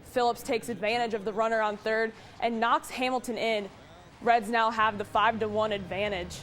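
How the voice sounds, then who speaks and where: Higher-pitched woman sports anchor. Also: fairly even intonation, normal speed, fairly bright tone. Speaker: television sports reporter. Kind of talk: scripted sports news narration.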